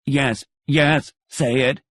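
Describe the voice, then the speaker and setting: A man's voice: medium pitch, steady tone, drawn-out words, a bit bright, no room sound, clean studio sound, pitched above the performer's own voice. A man voicing a cruel nobleman reduced to desperate begging. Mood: desperate pleading. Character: cruel nobleman, now panicked and pleading.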